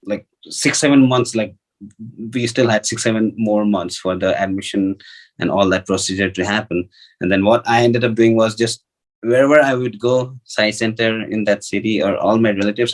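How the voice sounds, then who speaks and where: Very low-voiced, halting Nepali-accented man. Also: rough recording. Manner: halting, repetitive, thinks aloud with many fillers. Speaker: devotee sharing personal testimony. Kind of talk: informal personal storytelling to an audience.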